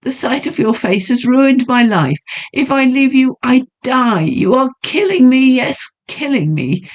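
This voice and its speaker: A woman's voice: high voice, expressive, unhurried, pitched above the performer's own voice. A woman voicing a haunted young noblewoman who pleads breathlessly and trembles with fear. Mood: desperate pleading. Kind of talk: audiobook character voice.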